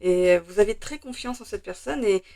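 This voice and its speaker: A French woman with a slightly hoarse voice, chatting through a tarot card reading with many hesitations and fillers. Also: medium pitch, normal speed, dark tone. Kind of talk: casual weekly tarot reading.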